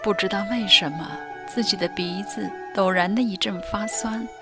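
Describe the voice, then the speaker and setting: A woman's voice: medium pitch, fairly even intonation, moderate pace, over music, natural voice. A young woman narrating a radio drama as a former teen delinquent, in a subdued, reflective way that sometimes drops to a whisper. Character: former teen delinquent girl recalling her past.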